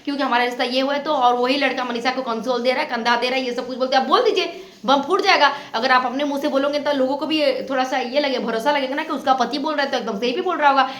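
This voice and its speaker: A woman's voice: sits high, fast talker, a bit bright, roomy sound. A woman giving opinionated reaction commentary as a channel host, reproachful and insistent, often repeating her points.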